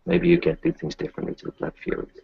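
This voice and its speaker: A man playing a weary, kindly doctor in a tabletop roleplay, giving measured, melancholy advice. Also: on the high side, huge pitch swings, creaky, slightly rough, dry recording, highs cut off, pitched above the performer's own voice, heavily acted. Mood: earnest. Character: weary, kindly male kinfolk doctor who lost his children. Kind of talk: tabletop roleplay, improvised in character.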